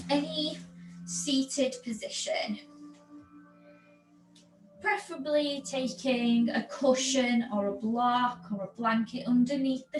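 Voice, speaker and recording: A woman yoga teacher giving guided relaxation instructions in a soothing, unhurried manner with long pauses between phrases. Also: fairly high pitch. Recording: echoey room with background noise.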